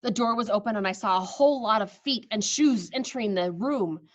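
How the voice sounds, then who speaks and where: A woman reading a children's story aloud with expressive narration, on a slightly dull recording. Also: medium pitch, fairly quick, dry recording.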